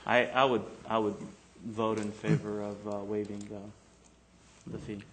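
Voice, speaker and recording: A man's voice: on the low side, little pitch movement, measured pace, a little hiss or hum. A man on a city council arguing his point in a meeting, hesitant and plainspoken, often repeating words, in a council chamber.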